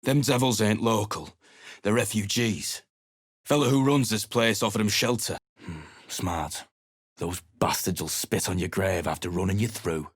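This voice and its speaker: A weary, foul-mouthed mercenary for a video game, speaking gruffly and bluntly. His voice sits low, with a sarcastic edge to every remark. The sound is dry and close, with no room around it. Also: normal speed, dry recording. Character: surly male mercenary adventurer, blunt and weary. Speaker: game character.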